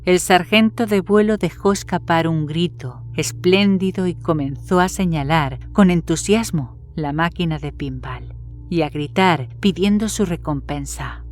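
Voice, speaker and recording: A woman narrates a scripted horror story. Her voice is on the low side for a woman, and faint music plays underneath as she reads. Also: measured pace, darkish tone. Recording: music behind the voice.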